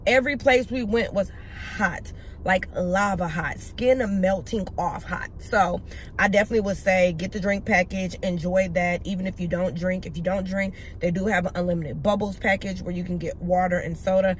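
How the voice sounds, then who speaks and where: A woman's voice: on the low side, fairly even intonation, normal speed, a little hiss or hum. A young woman vlogger, chatting casually to her viewers and calling them y'all. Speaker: lifestyle vlogger. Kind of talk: casual chat to camera. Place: home, then shopping in a store.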